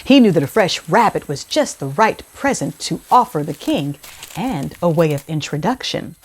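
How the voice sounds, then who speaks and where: A woman hosting a children's read-aloud, speaking in a deliberate, clearly phrased storytelling style. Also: deep voice, big pitch swings.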